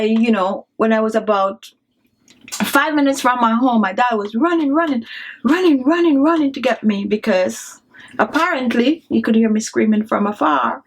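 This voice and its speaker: A woman's voice: fairly high pitch, animated, moderate pace, rough recording. A woman in her thirties from Jamaica with a strong Jamaican accent, chatting casually to camera and laughing often. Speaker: beauty vlogger. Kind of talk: casual vlog chat.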